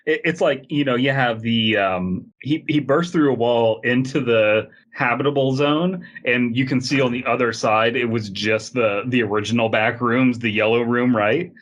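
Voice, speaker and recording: A man talks as a podcast co-host in a mid-pitched voice. He is casual and comedic, reacting to stories with loose, rambling riffs and jokes. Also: normal speed, few pauses, a bit bright.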